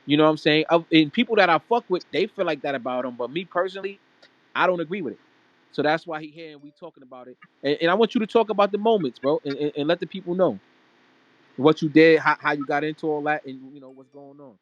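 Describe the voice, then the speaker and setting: A man's voice: a little high, quick pace, no room sound. A man hosting a live audio room in casual street slang, informal and repetitive, speaking straight to listeners. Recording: dry, dull lo-fi audio chat.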